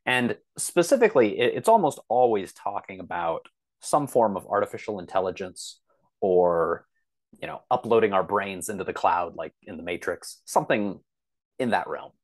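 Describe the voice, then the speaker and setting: A man's voice: lowish voice, animated, moderate pace. A man giving conversational interview answers as a podcast guest, explaining things in a thoughtful, hesitant way. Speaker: podcast guest, author and computer science instructor. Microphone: video call.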